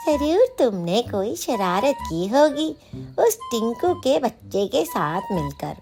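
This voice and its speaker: A woman's voice: on the high side, sing-song, darkish tone, soft background music, exaggerated voice. A woman voicing an exasperated parent crow who scolds in a children's cartoon story. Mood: suspicious. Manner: drawn-out, scolding.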